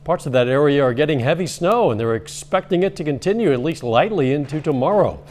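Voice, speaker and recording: A man reading a local evening newscast as anchor, in a steady, measured delivery with a slightly strained voice. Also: fairly high pitch. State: losing his voice, coughing at times.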